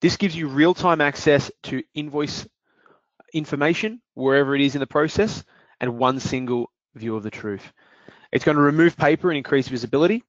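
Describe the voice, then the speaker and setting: A man presenting and walking through a software demonstration in a steady explanatory way, with occasional fillers. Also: mid-range voice, no echo. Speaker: product presenter. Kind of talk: software demo walkthrough. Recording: dull dry lo-fi audio.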